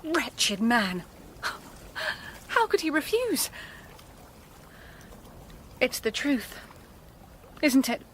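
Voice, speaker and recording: A woman's voice: high-pitched, sing-song, drawn-out words, long pauses, fairly bright tone, a little hiss or hum, full character voice. An actress playing a determined, grave young woman in a radio drama, composed and probing. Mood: sorrowful, probing.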